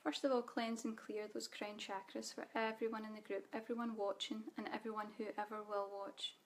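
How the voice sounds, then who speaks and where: A Scottish woman leading a live online spiritual training, speaking softly and calmly, guiding listeners with frequent ums. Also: a little high, brisk. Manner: soft guided-meditation delivery with fillers. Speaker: spiritual teacher.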